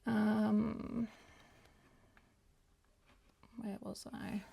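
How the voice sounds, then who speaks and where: A woman's voice: medium pitch, flat delivery, very slow, creaky, dark tone, slightly rough. A woman whose first language is German, chatting casually to viewers as a book vlogger in a rambling, hesitant way that often loses its thread.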